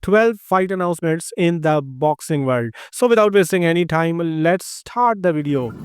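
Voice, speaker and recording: High-voiced man with an Indian accent. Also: lively intonation, slight background noise. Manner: brisk, reads lists of names and records. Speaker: host. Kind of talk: scripted news roundup.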